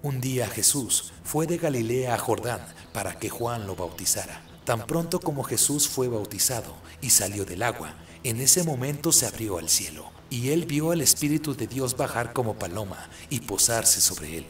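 A man's voice: mid-range voice, very animated, normal speed, hardly any pauses, faint music behind. A man narrating a biblical story from a script as a radio drama narrator.

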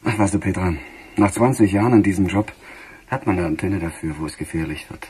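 A man's voice: sits low, fairly quick, a little mellow, a little hiss or hum, lightly acted. A man playing a confident middle-aged police detective, wry and offhand, in a German crime radio play. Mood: thoughtful, assured.

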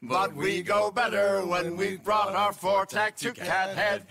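A merry, boisterous sailor sings a drinking shanty in a high voice. He takes it slowly, with a very loud crowd around him. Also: flat delivery. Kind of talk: rowdy group sea shanty singing.

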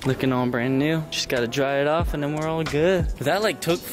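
A man's voice: a little high, fairly even intonation, brisk, music in the background. A man in his twenties with an American accent, chatting casually to viewers in slangy surfer-bro talk as he vlogs. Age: 20s. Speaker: vlogger. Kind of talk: casual vlog chat to viewers. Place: rooms at home, later outdoors by a garage. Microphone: handheld camera at arm's length.

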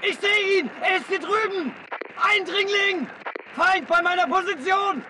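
Aggressive game soldier, extremely high strained voice, barked orders, off-mic in noisy ambience. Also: male voice, measured pace, recorded from a distance. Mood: urgent, aggressive. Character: aggressive, urgent shouting special-forces soldier. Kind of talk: video game battle shouts.

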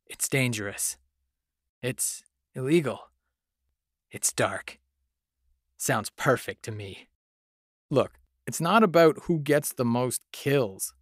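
A man playing an adventurous, cynical but loyal video game hero, speaking in a deliberate, conversational way. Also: medium pitch, dry recording, clean studio sound. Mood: daring. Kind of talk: video game character voice acting demo. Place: dry home studio. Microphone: close studio condenser.

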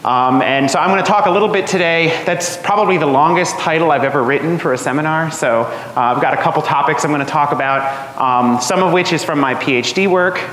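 A man in his thirties giving a relaxed academic seminar talk in a lecture room, with lots of ums and uhs. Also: on the high side, brisk, hardly any pauses. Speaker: guest seminar speaker. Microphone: room microphone. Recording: echoey hall, faint noise.

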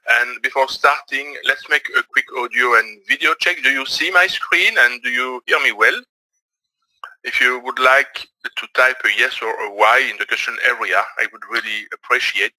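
A man from Belgium gives a live webinar in English, which is not his first language. He talks hesitantly, with frequent fillers and restarts. His voice is strikingly bright and forceful, and it sounds dry and close to the mic. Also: mid-range voice, no echo, poor audio. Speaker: webinar presenter, trading instructor.

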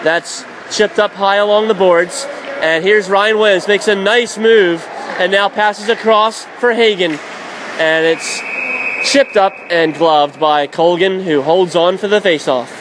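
A man doing radio play-by-play of a college hockey game, calling plays quickly and shouting at the big moments. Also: high voice. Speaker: play-by-play announcer. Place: college ice arena. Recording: noisy background.

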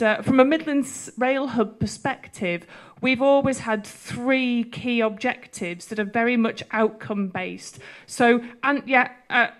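A woman in her forties speaking as a transport official on a panel in an event hall, friendly and filler-heavy. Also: a little high. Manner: friendly, many fillers, self-aware about her loud voice. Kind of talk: panel talk. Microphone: handheld mic.

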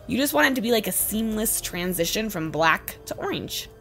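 Young woman with a creaky, hoarse voice. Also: mid-range voice, expressive, normal speed, a bit bright. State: slightly hoarse, losing her voice. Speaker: beauty vlogger, host. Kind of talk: makeup tutorial voice-over. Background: background music.

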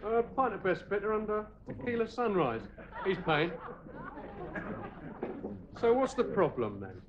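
A cheeky joker. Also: male voice, sits high, measured pace, crowd noise. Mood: teasing. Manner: teasing, deadpan quips. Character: cheeky laddish young husband, dry joker. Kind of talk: 1980s sitcom acting. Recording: dull lo-fi TV audio with hiss.

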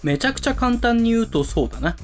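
Guy doing a pompous club president in a voice drama, super animated, dramatic pauses, music behind him. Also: very high voice. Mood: insistent. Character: eccentric, theatrical male high school club president. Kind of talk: amateur voice drama acting. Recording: music under the voice.

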